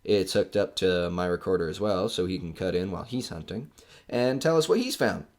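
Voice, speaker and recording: A man's voice: low-pitched, lively intonation, brisk, darkish tone. A man acting in an audio horror drama as a skeptical, wisecracking radio man narrating a ghost hunt in a casual, hesitant way. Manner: casual, flippant, hesitant filler-strewn delivery. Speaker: host of a ghost hunt recording.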